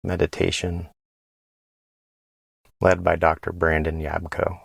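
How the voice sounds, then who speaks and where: A man leading a guided body scan meditation in a gentle, unhurried way, pausing between phrases. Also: low voice, flat delivery, vocal fry, breathy edge, no echo.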